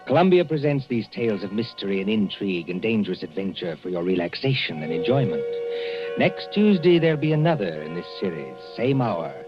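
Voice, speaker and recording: A man reading scripted credits as a 1940s radio announcer. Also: fairly low pitch. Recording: dull vintage radio broadcast recording. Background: music.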